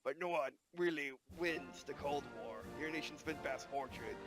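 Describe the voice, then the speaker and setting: A grave American president delivers a slow, solemn farewell address, as a man puts the voice on. The voice sits fairly high for a man but is pushed lower and quieter than his everyday talk, with game music playing clearly behind.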